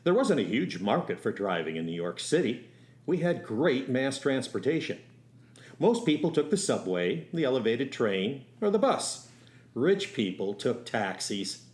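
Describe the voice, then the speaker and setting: A man's voice: mid-range voice, darkish tone. A man acting as a young driving instructor and soldier, telling his love story in a wry, folksy way for a radio play. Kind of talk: first-person radio storytelling with acted dialogue.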